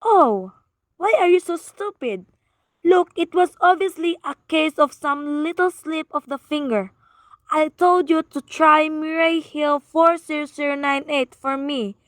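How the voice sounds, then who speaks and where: A high, deliberately paced voice for a nervous, demanding wife played by a woman in a radio thriller. She is frail and fretful, pleading and ordering with melodramatic weight. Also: no echo. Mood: exasperated, demanding. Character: frail, anxious, imperious wife alone at home. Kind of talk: old-time radio thriller acting.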